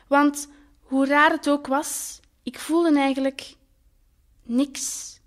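A woman playing a naive, wistful talking flower in an audio drama, with a Flemish accent and expressive colloquial storytelling. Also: high voice. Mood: earnest, bewildered. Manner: colloquial, expressive, lingering over words.

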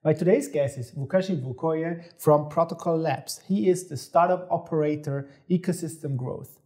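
Middle-aged male interview host, warm mellow tone, easygoing questioner. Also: a little high, normal speed. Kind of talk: interview hosting. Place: studio set with armchairs.

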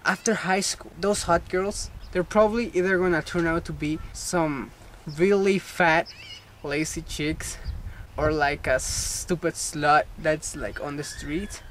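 A man's voice: fairly high pitch, a little slow, a bit bright, a little hiss or hum. A young man turning eighteen, chatting casually and jokingly in an outdoor playground vlog, recorded on a camera mic. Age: young adult. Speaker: vlogger. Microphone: camera mic at arm's length.

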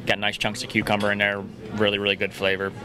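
A man's voice: fairly low pitch, monotone, fast. A man in his thirties from a restaurant answering interview questions in a pub, friendly and hesitant with many fillers. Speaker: restaurant staff. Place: pub dining room, indoors. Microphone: host's handheld mic. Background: crowd chatter.

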